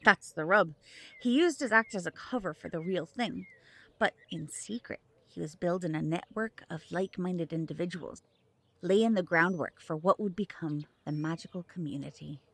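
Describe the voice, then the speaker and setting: An actress playing a composed, knowledgeable mother with a measured manner in an audio drama. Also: fairly low pitch, fairly bright tone. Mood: storytelling.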